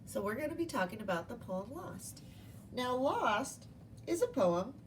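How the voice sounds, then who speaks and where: A woman's voice: on the high side, distant mic, slight background noise. A woman teacher giving a read-aloud lesson to young children, speaking in simple instructional phrases and asking questions. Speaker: primary school teacher.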